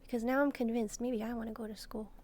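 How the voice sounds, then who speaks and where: A woman voicing a gentle, shy demon maid in a soft-spoken roleplay, speaking hesitantly as she muses aloud. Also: on the high side, steady tone, fast talker, close to an everyday voice. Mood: gentle, chatty. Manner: hesitant, timid, musing aloud. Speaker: roleplay video creator. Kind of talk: soft-spoken first-person roleplay, relaxing.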